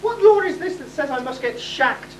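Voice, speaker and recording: Woman playing a very high-pitched haughty princess. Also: animated, moderate pace. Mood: defiant. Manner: imperious, exclaiming. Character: haughty, stubborn young pantomime princess. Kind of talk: amateur pantomime stage acting. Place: hall stage, distant microphone. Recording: echoey distant hall, faint noise.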